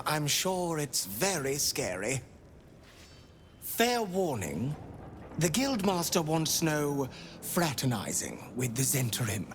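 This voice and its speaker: A man's voice: mid-range voice, a bit bright. A man playing a sardonic, streetwise underworld enforcer in a game, with a taunting manner. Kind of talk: dramatic game dialogue. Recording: background noise and ambience.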